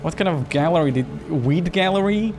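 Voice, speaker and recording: A man with a fairly high voice hosts a game playthrough. His commentary is casual and peppered with joking asides. Music plays clearly in the background. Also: lively intonation, moderate pace, a little mellow. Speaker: gaming video host. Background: music behind the voice.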